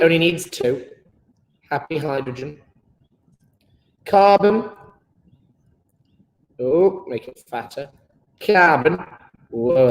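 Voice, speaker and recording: A man's voice: on the high side, a little mellow, reverberant, poor audio. A British man teaching a live online chemistry lesson, playful and enthusiastic, joking with his pupils.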